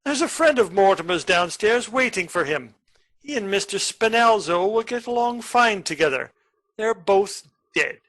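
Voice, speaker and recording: A man's voice: high voice, lively intonation, moderate pace, fairly bright tone, heavily acted. A man playing a menacing, boastful murderer in a radio play, with an emphatic, theatrical manner. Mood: menacing, incredulous.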